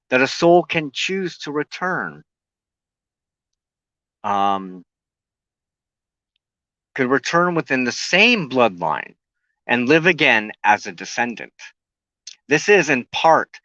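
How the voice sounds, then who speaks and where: A man hosting a talk broadcast, reading a prepared monologue in a halting way and stumbling over words. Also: medium pitch, pushed and bright, dry recording, muffled recording. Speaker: host of a weekly occult broadcast. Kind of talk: scripted monologue, partly read aloud.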